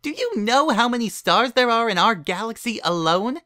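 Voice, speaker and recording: A man's voice: very high voice, lively intonation, normal speed, bright, forceful tone, dry recording, studio quality, pitched above the performer's own voice, full character voice. A man voicing a small, precocious filly who argues and nitpicks in a bratty, sleepy way, in a storybook reading. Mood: argumentative. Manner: argumentative, nitpicking, dismissive.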